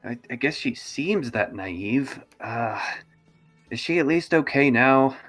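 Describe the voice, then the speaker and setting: A man's voice: medium pitch, animated, slow, a bit bright, soft background music. A man playing in a tabletop roleplaying game, casually and hesitantly describing his character as he feels for words.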